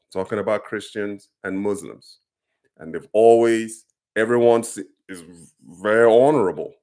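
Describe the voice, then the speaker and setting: A Nigerian man talking casually to camera, explaining things earnestly, with plenty of ums and restarts. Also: lowish voice. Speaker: commentator.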